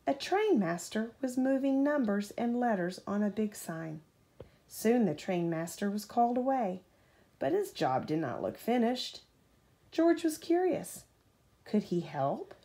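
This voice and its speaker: Mother-type female storybook reader: unhurried, animated, lightly gravelly, engaging a child with questions. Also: medium pitch. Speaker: mother reading a bedtime story aloud. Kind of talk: expressive children's storybook read-aloud.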